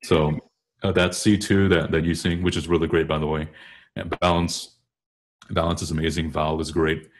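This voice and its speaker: A man's voice: very deep voice, little pitch movement, normal speed, slight background noise. A man explaining vocal technique casually, often rephrasing himself as he goes. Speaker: choral bass singer discussing vocal technique.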